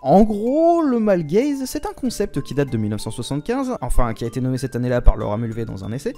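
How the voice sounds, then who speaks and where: A man delivers scripted commentary over background music. His voice is mellow and very lively in pitch. He argues quickly and emphatically, with casually crude turns of phrase. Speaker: host of a manga debate show. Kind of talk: scripted opinion commentary staged as a mock trial. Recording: music behind the voice.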